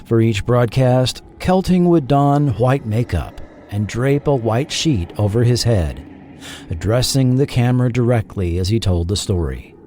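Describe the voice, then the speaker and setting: A man reading scripted documentary narration in a measured, dramatic storytelling manner. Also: mid-range voice, animated, dark tone, a little hiss or hum. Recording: music bed under the voice. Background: background music.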